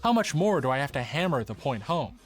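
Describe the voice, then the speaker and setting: A man's voice: a little high, sing-song, fairly quick. A man narrating a scripted documentary-style video essay in an earnest, articulate manner.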